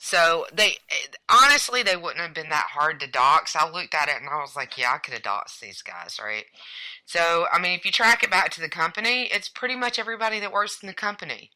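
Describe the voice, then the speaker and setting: Low, bright-voiced woman co-host. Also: animated, fairly quick, poor audio. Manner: conversational, hedging with fillers, wry asides. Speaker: podcast co-host. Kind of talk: casual chat about cybersecurity.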